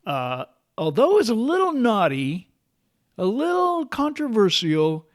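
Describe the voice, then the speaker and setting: A man's voice: high voice, wildly swooping pitch, measured pace. A middle-aged or older American man co-hosting a casual, nostalgic talk show with a bantering, conversational manner. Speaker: podcast co-host.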